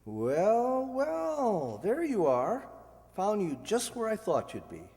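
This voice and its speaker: A man's voice: sits high, wildly swooping pitch, takes it slowly, darkish tone, exaggerated voice. A man playing a witty, needling, urbane ex-husband in an amateur radio play, sardonic and theatrical. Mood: breezy. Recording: echoey hall, long reverb.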